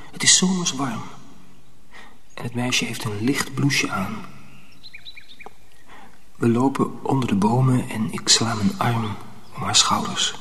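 An actor playing a secretive, dreamy young apprentice in a radio drama, subdued and hesitant. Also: male voice, mid-range voice, sharp tone. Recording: noisy radio play recording.

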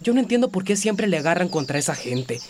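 A man's voice: sits high, sing-song, fast, darkish tone, slight background noise. An actor playing an earnest, concerned boy in a radio drama, eager and questioning. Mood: troubled. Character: earnest, concerned boy, a grandson learning guitar.

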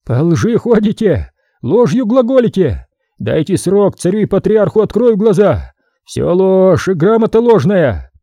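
A man voicing a hot-tempered male archimandrite who shouts accusations, in a husky, dry recording. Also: high-pitched, very animated, a little slow, no room sound, voice pushed up, heavily acted. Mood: indignant. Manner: shouting, denouncing.

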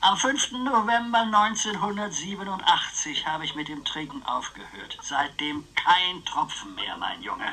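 A man's voice: high-pitched, lively intonation, normal speed, hardly any pauses, sharp tone, exaggerated voice, poor audio. A male actor playing an eccentric old uncle in a radio play, theatrical, rambling and needling, styling himself a dangerous psychopath. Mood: indignant. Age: older.

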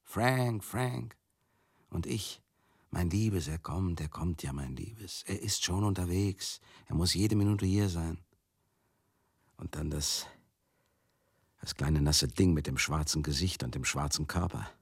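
An actor playing a world-weary man in a radio play, confiding a painful memory bitterly and tenderly in halting, repetitive, conversational phrases, with a husky voice that often drops to a murmur. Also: low-pitched, no room sound, clean studio sound, full character voice. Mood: anguished, haunted. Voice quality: gravelly, husky, often dropping to a breathy murmur. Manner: halting, repetitive, conversational, addressing listeners directly. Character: Teddy, world-weary male touring companion recalling a tragedy, bitter and tender.

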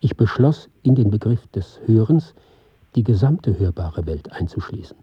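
An actor playing an earnest, reflective film pioneer, giving a measured, formal radio drama monologue in a husky voice. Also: male voice, on the low side, very animated, no echo, highs cut off, exaggerated voice. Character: earnest, reflective male film pioneer recounting his experiments. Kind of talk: scripted radio drama monologue, read as lecture.

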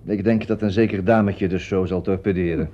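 An actor in a Dutch radio play as a wry, fussy veteran stage actor with a theatrical, drawling, sardonic manner. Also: male voice, low-pitched, steady tone, brisk, natural voice. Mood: catty, sardonic. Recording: dull lo-fi radio drama recording.

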